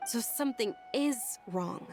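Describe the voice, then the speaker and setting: Young noble lady for a game: fairly high, refined, polite, caring, clear music behind. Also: expressive, unhurried. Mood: concerned. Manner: polite, gentle, solicitous. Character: gentle, well-bred young noble lady, caring and attentive. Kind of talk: anime-style video game voice acting.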